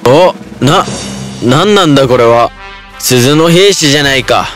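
A hearty cook in a fairy-tale cartoon. His voice is middling in pitch. Faint music runs underneath. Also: animated, a little slow, fairly bright tone. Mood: surprised. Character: hearty male cook, pleased and surprised. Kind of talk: dubbed children's fairy-tale cartoon acting.

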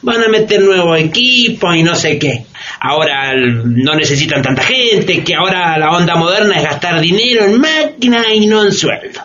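Casting: sarcastic, slangy Latin American worker in radio theatre, very animated delivery, muffled lo-fi sound. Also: male voice, high voice, normal speed. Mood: bitter, sarcastic. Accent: Latin American Spanish. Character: bitter, mocking working-class man griping about layoffs. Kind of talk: colloquial radio theatre acting. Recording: dull lo-fi radio recording, faint noise.

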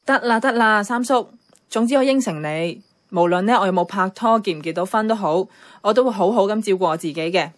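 Woman playing a caring Hong Kong niece, mid-pitched. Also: brisk, close to an everyday voice. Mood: affectionate. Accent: Hong Kong Cantonese. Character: caring unmarried niece, affectionate and a little evasive. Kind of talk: Cantonese radio drama acting.

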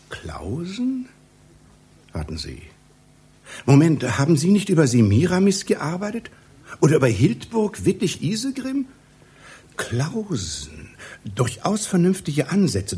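A man's voice: a little high, huge pitch swings, slow pace, pauses often, mellow, husky, heavily acted. An actor playing an obsessive, pedantic middle-aged male scholar in a satirical radio play, lecturing in a fussy, declamatory way. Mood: musing, condescending. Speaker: literary scholar with a doctorate.

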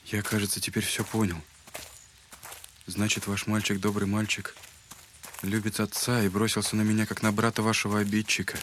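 An actor as low-voiced, earnest young man. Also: completely flat delivery, moderate pace, pauses often, a bit bright, slight background noise, close to an everyday voice. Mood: concerned, earnest. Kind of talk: Russian literary audio drama acting.

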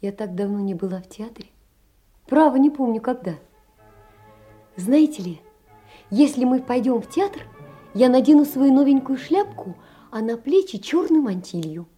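Tender young woman, mellow and high. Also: big pitch swings, moderate pace, pauses often, faint music behind. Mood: wistful, eager. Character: poor, tender young woman, devoted and anxious. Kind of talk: theatrical audio drama, reading letters and memoirs.

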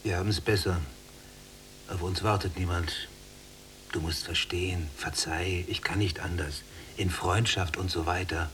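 An actor playing a brooding, embittered prisoner in a German radio drama, with a gravelly voice and a sardonic, often hushed delivery. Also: male voice, low-pitched, fairly even intonation, measured pace, a little hiss or hum. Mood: bitter. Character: brooding, embittered male prisoner, younger cellmate. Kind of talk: German radio drama acting, literary monologue.

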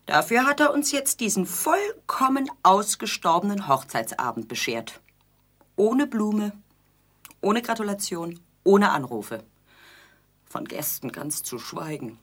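An actress playing an aggrieved, nagging newlywed bride in an absurdist radio play, theatrical and pointed, with a husky voice. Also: female voice, mid-range voice, very animated, measured pace, fairly bright tone, exaggerated voice. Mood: scornful, aggrieved. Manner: theatrical, pointed, sardonic repetition.

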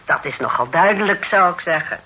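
Stern older aunt, sanctimonious preacher's delivery, husky and forceful, Netherlands Dutch, radio play. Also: female voice, on the low side, expressive, a little fast, heavily acted, low-quality recording. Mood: solemn, preaching. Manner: sanctimonious, declaiming in biblical phrases. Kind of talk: Dutch radio drama acting.

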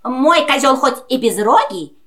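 Slow, high-pitched grumpy nanny goat. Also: female voice, very animated, pushed and bright, exaggerated voice. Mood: feigned politeness. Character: grumpy bossy female goat, unwelcoming wife. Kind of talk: children's audio play acting.

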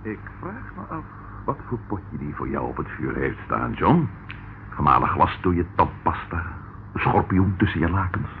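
A man's voice: low-pitched, sing-song, moderate pace. An actor playing a wry, matter-of-fact male police detective reporting in a radio drama. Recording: tinny, noisy old radio recording.

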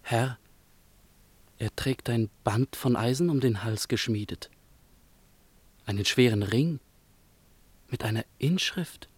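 An actor playing a deferential, solemn court chamberlain in a radio drama, speaking formally and with measured gravity. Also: male voice, mid-range voice, darkish tone, breathy edge, dry recording. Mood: grave reading.

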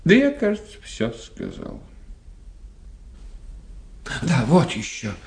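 An actor playing a witty, sceptical society doctor in a radio play, gossiping in a wry, theatrical way. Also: male voice, a little high, huge pitch swings, slow, a little hiss or hum, exaggerated voice. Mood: gossipy, amused. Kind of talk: radio drama acting.

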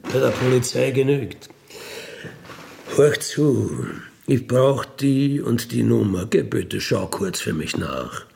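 A middle-aged man with an Austrian accent talks at a slow, deliberate pace. His voice is gravelly with plenty of fry. He sounds matter-of-fact and conversational, often turning to the listener with little tag questions. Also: mid-range voice, darkish tone. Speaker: ex-convict burglar telling his life. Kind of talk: candid conversational monologue.

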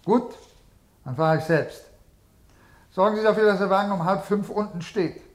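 Brusque, higher-voiced old bureaucrat played by a man. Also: moderate pace, slight background noise, lightly acted. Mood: commanding. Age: older. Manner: curt, officious, absent-minded with names. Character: ailing old male bureaucrat, brusque desk tyrant. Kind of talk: 1950s German radio drama acting.

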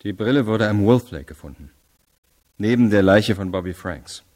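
A man plays a persistent male prosecutor in a radio drama. His voice is on the low side, and his delivery is formal, measured and pressing. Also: a little mellow, natural voice. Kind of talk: radio play acting.

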